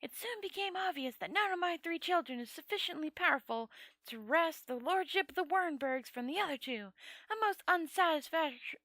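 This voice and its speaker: A woman's voice: very high-pitched, animated, normal speed, a bit bright, no room sound. A woman voicing a dead old male wizard from a storybook, proud and rambling in a conversational, matter-of-fact way. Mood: proud, disdainful.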